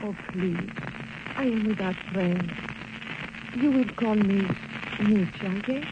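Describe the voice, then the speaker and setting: An actress playing a melodramatic, coy Mexican femme fatale with a Spanish accent in 1940s radio drama style. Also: female voice, medium pitch, slow, lightly acted. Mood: seductive, wheedling. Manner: coy, theatrical, formal phrasing. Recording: dull, noisy old-time radio recording.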